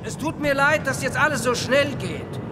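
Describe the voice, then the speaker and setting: A man playing a brisk official in a radio play, calling out instructions to a departing traveller. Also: very high voice, a crowd in the background. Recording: noisy outdoor scene with engine sounds.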